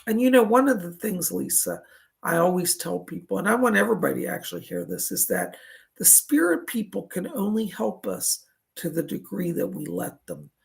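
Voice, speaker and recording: A man with a raspy voice, a psychic medium giving live readings in a soothing, reassuring, chatty way. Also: high-pitched, sing-song, moderate pace.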